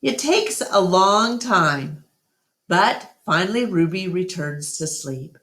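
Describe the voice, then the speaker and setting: A woman narrating a children's book aloud as an expressive storytime reader. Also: on the low side, takes it slowly.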